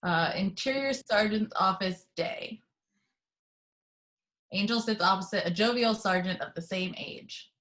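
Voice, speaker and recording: A woman reading a screenplay's action descriptions in a plain, steady way. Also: mid-range voice, unhurried, pauses often. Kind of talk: reading a screenplay's stage directions.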